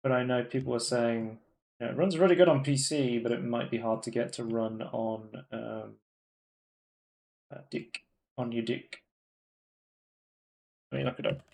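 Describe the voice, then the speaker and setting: A man chats through gaming news on a live podcast from a home room. He rambles, restarts his sentences and fills pauses with ums. His pitch sits squarely in the middle range. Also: fairly even intonation, creaky, darkish tone. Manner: rambling, many fillers and restarts. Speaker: podcast co-host. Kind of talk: casual gaming news chat.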